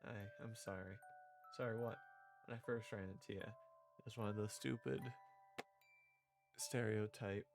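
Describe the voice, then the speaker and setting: A man's voice: fairly low pitch, fairly even intonation, measured pace, vocal fry, long pauses, soft background music. A man reading visual novel dialogue aloud and performing the parts, with casual asides as a streamer.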